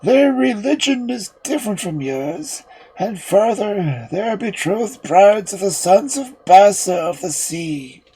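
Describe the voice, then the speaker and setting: A storyteller voicing a dying old father, a solemn magician giving slow, halting, tearful counsel in a fairy tale. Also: mid-range voice, animated, dark tone, husky, pitched above the performer's own voice, exaggerated voice, low-quality recording. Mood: frail, solemn.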